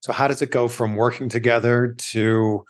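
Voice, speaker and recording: A man's voice: mid-range voice, flat delivery, moderate pace. A man hosting an interview in a conversational style, putting questions and remarks to his guest. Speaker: interview host.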